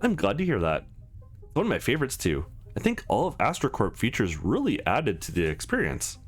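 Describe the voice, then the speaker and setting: A man reads the part of an easygoing young man on a date in a visual novel game. He sounds casual and affectionate, with very animated pitch and music underneath. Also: a little fast.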